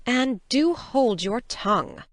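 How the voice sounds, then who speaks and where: A woman playing a stern, plain-spoken older guardian, brisk and severe, in an audiobook drama. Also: a little high, expressive, no room sound. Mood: curt, weary. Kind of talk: full-cast audiobook acting.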